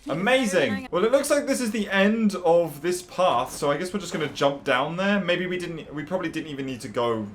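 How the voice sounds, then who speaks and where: A man's voice: on the high side, expressive, brisk, few pauses. A young man giving casual, chatty gameplay commentary, playfully reacting aloud as he goes. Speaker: gaming video creator.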